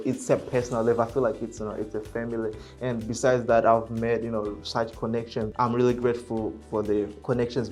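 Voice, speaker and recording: Soft-spoken man, English not his first language. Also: mid-range voice. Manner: halting, many fillers and self-corrections. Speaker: real estate agent and vlogger. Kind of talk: casual talking-to-camera vlog.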